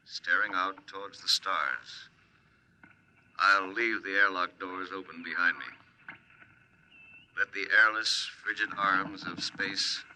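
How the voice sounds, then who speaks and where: A man playing a grave military officer, measured and declamatory, in 1950s radio drama style. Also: low voice, bright, forceful tone, exaggerated voice. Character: solemn military officer giving a grave tribute. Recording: slightly noisy vintage radio recording.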